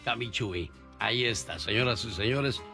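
A man's voice: mid-range voice, moderate pace, pushed and bright, soft background music. A man hosting a morning radio show, interviewing a guest in a formal announcer's manner and addressing the listeners directly. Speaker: radio host.

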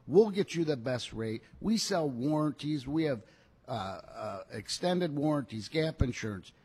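A man giving an unscripted live sales pitch as a car dealer, folksy and repeating phrases for emphasis. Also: expressive, a little slow, darkish tone, slightly rough. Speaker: car dealer, radio show regular.

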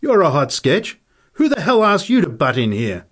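A man's voice: on the low side, steady tone, a little slow, breathy edge. A man voicing a belligerent, punch-drunk little former boxing champion in a story reading, aggressive and taunting as he swears. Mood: angry, accusing.

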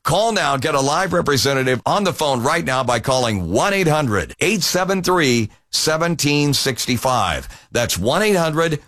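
Male infomercial host, slightly high register, upbeat salesmanlike delivery straight to the listener. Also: animated, unhurried, hardly any pauses, a bit bright. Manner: salesmanlike, addresses listeners as folks. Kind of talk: upbeat advertising pitch.

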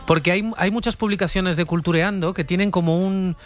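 A man's voice: high-pitched, fairly even intonation, fairly quick, faint music behind. A man with a Spain Spanish accent co-hosting a radio show with casual on-air banter. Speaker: radio co-host.

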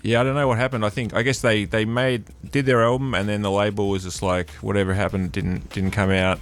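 A man guesting on a radio show, rambling with many fillers and restarts, trailing off quietly. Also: on the low side, little pitch movement, a little fast. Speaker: radio guest, record collector. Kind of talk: casual radio chat.

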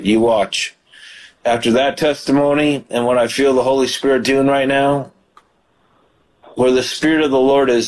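A man's voice: mid-range voice, poor audio. A man hosting a call-in prayer show as a pastor, praying fervently over callers. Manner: fervent praying, frequent hallelujahs. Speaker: radio ministry host and pastor.

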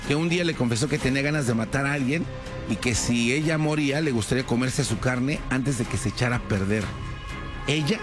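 A man's voice: mid-range voice, a little fast, over music. A man telling a true crime story in a casual, conversational way, with many fillers and tag questions.

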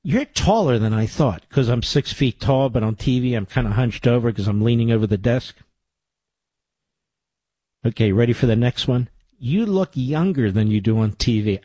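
A man's voice: mid-range voice, mellow, husky, dry recording. A man hosting a call-in radio show, talking emphatically and repeating phrases for stress. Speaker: radio talk show host.

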